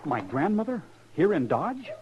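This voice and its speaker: A man's voice: on the high side, huge pitch swings, a little slow, highs cut off, slight background noise. An American man playing a spoiled, cowardly young man from a proud family in an old-time radio western, entitled and self-justifying. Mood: smug, entitled. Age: young adult. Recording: old radio drama recording.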